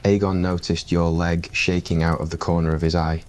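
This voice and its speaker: Male ASMR narrator, very low and steady, calm second-person storytelling. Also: moderate pace.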